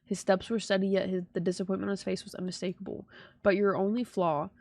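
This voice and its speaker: A woman's voice: mid-range voice, little pitch movement, fast talker, a little mellow, dry recording. A woman streamer reading game text aloud and reacting casually, in an irreverent, slangy way.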